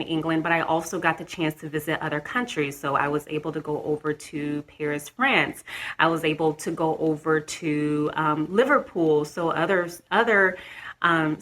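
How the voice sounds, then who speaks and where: A young woman from the US chats to her camera in a loose, rambling vlog. Her voice is bright and forward, pitched a little low, and she restarts sentences and laughs lightly as she goes. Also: rough recording. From United States. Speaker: vlogger. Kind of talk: casual talk to camera.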